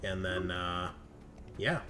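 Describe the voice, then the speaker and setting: A man's voice: sits low, slow, sharp tone. A man acting as game master, speaking casually and profanely as he thinks aloud while running a tabletop game. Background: background music.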